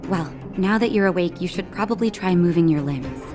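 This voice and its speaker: A calm woman doctor from a video game, played by an actress, with a slightly low voice. She speaks gently and with composure, reassuring and caring. Soft music plays behind her scripted lines. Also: fairly quick, natural voice.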